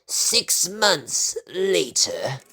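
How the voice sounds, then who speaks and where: A sly old creep of a horror-comic host, played by a man, tells the tale theatrically. The delivery is very slow and ghoulish, rising and falling widely, over clear background noise. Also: on the high side, very animated, sharp tone, full character voice. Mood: ominous. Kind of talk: horror anthology narration.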